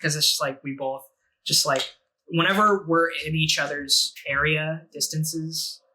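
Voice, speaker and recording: A man's voice: a little high, fairly even intonation, unhurried, bright, forceful tone, slight background noise, rough recording. A man in his twenties chatting casually on a podcast, rambling, laughing often and swearing freely. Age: 20s. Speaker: podcast guest.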